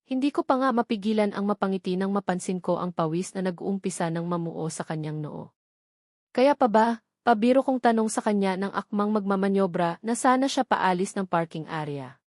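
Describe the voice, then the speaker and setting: A woman's voice: mid-range voice, animated, a little fast, darkish tone, no room sound, clean studio sound. A woman with a Filipino accent reading a scripted first-person story as an audiobook narrator.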